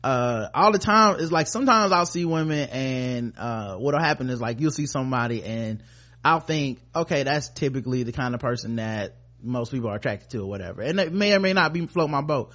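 Casual male podcast host, average-pitched voice, rambling and profane, loose slangy commentary. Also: animated, fairly quick, fairly bright tone.

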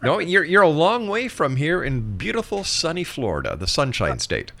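A man's voice: fairly high pitch, huge pitch swings, moderate pace, vocal fry, fairly bright tone. A man hosting a radio talk show in a conversational style.

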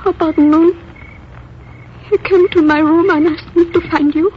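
A woman's voice: very high voice, steady tone, measured pace, slight background noise. An actress playing a passionate young Latin American woman with a Spanish accent in a 1950s radio drama. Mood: grieving. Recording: muffled old radio recording, music behind.

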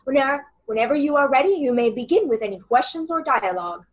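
A woman channeling a loud, formal galactic being. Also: high voice, expressive, fast. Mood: formal, welcoming. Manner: formal, speaks as "we". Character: strong direct female galactic being. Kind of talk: channeling session.

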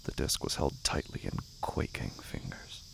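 A man giving a scripted, dramatic narration of a horror story in a deliberate, intense manner. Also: extremely low pitch, expressive, vocal fry, a little hiss or hum. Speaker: narrator of a horror fiction podcast.

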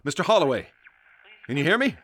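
Radio drama professor: eager yet hesitant, courteous, animated, forceful tone. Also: male voice, fairly high pitch, fast talker, rough recording. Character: polite, hesitant but eager male university professor.

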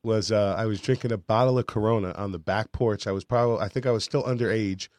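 A man from New York state hosting a live radio show, with a raspy voice and a cold, talking in a boastful, joking way. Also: on the low side, steady tone, fast, darkish tone, no room sound. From New York state, USA. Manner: boisterous, boastful and jokey. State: has a cold. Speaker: radio host.

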